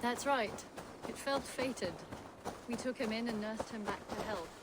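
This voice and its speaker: Calm young huntress, played by an actress, noisy background. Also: female voice, fairly high pitch, unhurried, natural voice. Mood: reflective. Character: calm, proud young huntress recalling and tracking. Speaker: game character. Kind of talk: video game dramatic dialogue. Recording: game audio with loud ambient noise.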